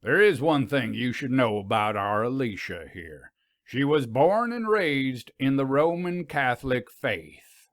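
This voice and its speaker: A man performs a folksy Southern minister from a storybook, a commanding showman of a preacher. His pitch swings widely as he draws out his words in slow, emphatic oratory. The accent is Southern US and the sound is dry and close. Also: expressive, a bit bright, dry recording. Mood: proud, theatrical. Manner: oratorical, drawn-out emphatic delivery. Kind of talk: audiobook character reading.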